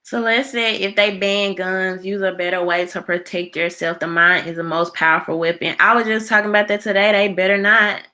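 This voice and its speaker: A woman's voice: mid-range voice, little pitch movement, brisk, few pauses, sharp tone. An American woman hosting a casual live chat, talking in a colloquial, opinionated and emphatic way as she responds to viewers. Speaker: live show host. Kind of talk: casual livestream chat, reading and answering viewer comments.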